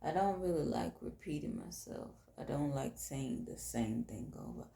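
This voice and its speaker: Female late-night host, Southern US accent, hoarse and fry-heavy, expressive swings in pitch, intimate solo delivery. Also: moderate pace, echoey room. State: hoarse, tired voice. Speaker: podcast host.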